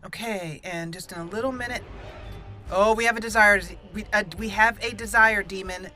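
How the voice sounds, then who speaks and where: A young woman hosting a let's-play, chatting excitedly through a battle and roleplaying dialogue. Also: medium pitch, moderate pace, music in the background. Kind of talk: casual game commentary and roleplay.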